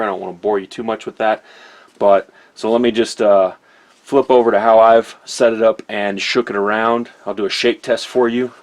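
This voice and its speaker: Low-voiced man, casual product reviewer. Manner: informal, easygoing, conversational.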